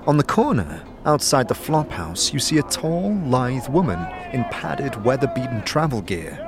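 A man's voice: mid-range voice, big pitch swings, normal speed, noisy background. A man narrating a scripted fantasy audio drama, addressing the listener in the second person.

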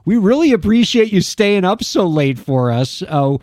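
A man hosts a radio interview show and speaks with gushing enthusiasm. His pitch sits a little high and swings widely. The sound is dry and close, with no room echo. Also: moderate pace. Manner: enthusiastic, animated and effusive. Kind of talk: casual interview chat.

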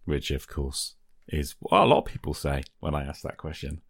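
Deep-voiced British man hosting a podcast. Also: level tone, normal speed, breathy edge. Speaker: podcast host. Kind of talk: casual interview chat and sign-off.

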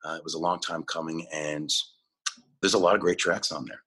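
A male musician answering interview questions, halting often with fillers and restarts. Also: a bass voice, fairly even intonation, a bit bright, slightly rough. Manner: hesitant, many fillers and restarts. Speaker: musician guest.

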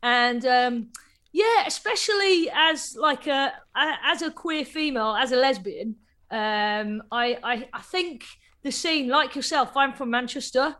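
A woman's voice: high voice, unhurried, pushed and bright. A woman in her thirties from England, a radio guest talking casually with many restarts. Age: 30s. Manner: chatty, with many restarts and fillers. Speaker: guest, club night founder.

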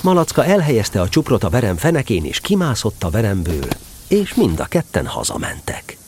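A man narrating a children's radio play in an expressive, playful tone. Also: lowish voice, a little hiss or hum.

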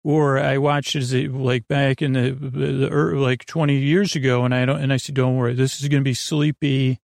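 A man's voice: mid-range voice, little pitch movement, a little fast, gravelly, a little mellow, no room sound, studio quality. A man hosting a bedtime podcast, talking in a meandering, hesitant, soothing way that keeps drifting into digressions. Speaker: podcast host. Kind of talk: rambling bedtime story podcast monologue.

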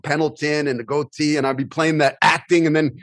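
A man's voice: a little high, level tone, fairly quick, fairly bright tone, dry recording. A middle-aged man, a podcast host and actor, chatting casually with lots of "you know" fillers.

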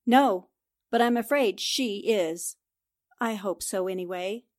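A woman playing a sharp, confident newspaper reporter in a 1940s-style radio drama, speaking in an assertive, accusing way. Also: medium pitch, slow, lightly acted.

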